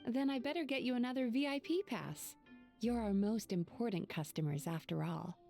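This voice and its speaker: A woman's voice: a little high, moderate pace, vocal fry, warm tone, soft background music. A woman playing a composed, dry-witted business proprietress in an anime game, polite and unruffled.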